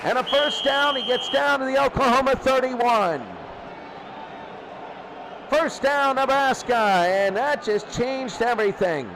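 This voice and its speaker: A man doing excitable play-by-play football commentary in a radio style, often repeating key phrases. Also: very high voice, measured pace, a little hiss or hum, low-quality recording.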